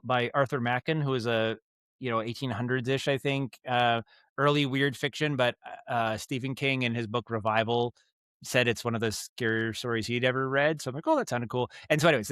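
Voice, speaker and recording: A man's voice: mid-range voice, clear, resonant tone, no room sound, studio quality. A man from Maine with an American accent, talking casually as a podcast guest, rambling through stories and acting out asides. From Maine, United States. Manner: rambling, animated acted-out asides. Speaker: podcast guest, audio drama director and writer. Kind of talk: casual interview storytelling.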